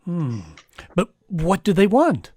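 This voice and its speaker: A man acting a megalomaniac villain posing as a professor in an old-time radio play, speaking in a deliberate, grandiose, theatrical manner. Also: high-pitched, sing-song, mellow, slightly rough, dry recording, clean studio sound, full character voice. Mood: feigned disbelief.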